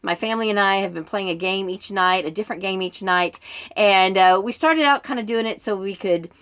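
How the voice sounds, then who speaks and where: A woman's voice: medium pitch, a little fast, a bit bright, clear, resonant tone. A woman chatting informally in a book vlog, meandering through her reading list and often interrupting herself. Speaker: book vlogger.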